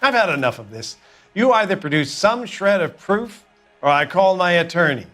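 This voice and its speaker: An actor playing a slippery, self-important American crook cornered by police in a video game, evasive in manner. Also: male voice, sits high, lively intonation, moderate pace, bright, forceful tone, faint music behind. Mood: indignant.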